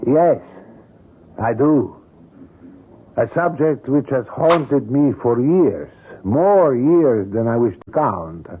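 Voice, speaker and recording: A man's voice: medium pitch, lively intonation, slow pace, long pauses, a little hiss or hum. An actor playing an older, courteous and obsessive male sculptor in a radio drama, with formal, florid and precise phrasing. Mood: intense, insinuating. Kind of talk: old-time radio drama acting. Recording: muffled vintage radio drama recording.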